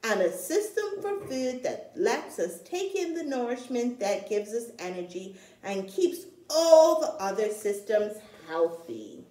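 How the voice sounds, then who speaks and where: Female storytime reader, higher-pitched and unhurried, expressive delivery aimed at young children. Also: reverberant, rough recording. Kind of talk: expressive read-aloud of a children's picture book.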